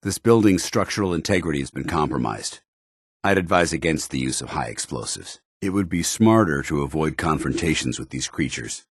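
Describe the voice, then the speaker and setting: Very deep-voiced, stern military paladin. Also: male voice, vocal fry, husky, no echo, studio quality, full character voice. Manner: formal, earnest, commanding soldierly delivery. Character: stern, principled male military paladin, loyal to his order. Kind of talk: video game companion dialogue.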